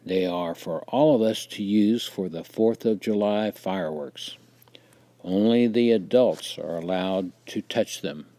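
A man voicing a stern, authoritative father in a story, speaking firmly and deliberately to his son. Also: lowish voice, warm tone. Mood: firm, instructing. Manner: firm, commanding, deliberate.

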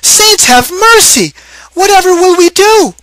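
A man voicing a flustered old countrywoman with a high, shrill, exclaiming voice. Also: expressive, a little slow, sharp tone, no room sound, full character voice. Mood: alarmed.

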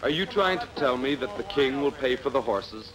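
A man's voice: medium pitch, expressive, normal speed, crowd noise, natural voice, poor audio. A man playing a sceptical, mocking tavern keeper in a dubbed adventure film.

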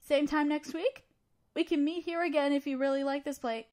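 A woman's voice: sits high, steady tone, normal speed, heavy background noise, lightly acted. A woman playing an awkward, flustered young student council president in a romantic audio roleplay, with a hesitant, earnest delivery. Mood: vulnerable, earnest.

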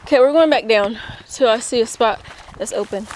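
A woman's voice: on the high side, a little fast, low-quality recording. A young woman chatting casually and bluntly, thinking aloud as she vlogs outdoors on a hillside trail with a handheld camera. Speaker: vlogger. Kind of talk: casual outdoor vlog chat to camera and children. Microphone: handheld camera at arm's length. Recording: loud wind and outdoor handling noise.

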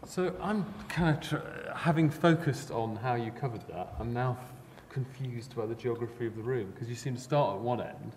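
A man's voice: medium pitch, normal speed, hardly any pauses, a little mellow. A man moderating a panel discussion, chatty and light, with loose phrasing. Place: large hall at a panel table. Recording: reverberant hall, faint noise.

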